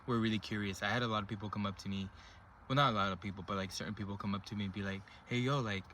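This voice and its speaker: Young man in his twenties, low voice, relaxed and rambling, casual vlog chat, faint noise behind. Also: very fast. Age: 20s. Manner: relaxed, rambling, filler-heavy talk. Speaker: vlogger. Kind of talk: casual personal storytelling to camera.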